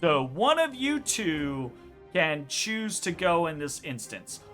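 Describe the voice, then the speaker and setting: A man running a tabletop game as game master, casual and animated, swearing freely and trailing off with filler words. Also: on the high side, slow pace, soft background music. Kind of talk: narrating a tabletop game online.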